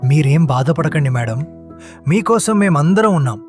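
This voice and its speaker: A man's voice: a little high, lively intonation, moderate pace, a little mellow, faint music behind, natural voice. A man playing a polite, caring, modest young man in a cartoon story.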